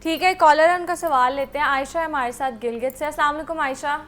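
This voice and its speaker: A woman host with a Pakistani Urdu accent runs a live call-in health show and keeps her questions brisk. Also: high voice, a bit bright.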